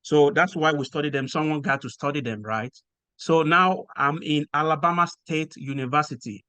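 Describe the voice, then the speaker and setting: A man from Nigeria with a Nigerian accent, explaining things in a measured way with frequent pauses as a podcast guest. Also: on the high side, sharp tone, smooth, dry recording. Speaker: guest researcher. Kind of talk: podcast interview.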